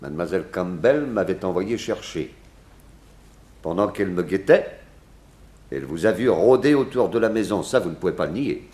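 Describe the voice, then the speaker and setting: An actor playing a blunt, accusing small-town sheriff, insistently interrogating in 1960s radio drama style. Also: male voice, sits low, lively intonation, normal speed, a little mellow, roomy sound. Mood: accusing, forceful.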